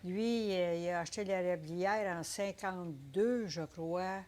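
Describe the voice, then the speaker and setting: An older woman with a Québécois accent, telling her story in a casual interview, unhurried and hesitant, full of fillers. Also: on the low side, a little mellow, slight background noise. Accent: Québécois French. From Quebec. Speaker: interviewee, entrepreneur.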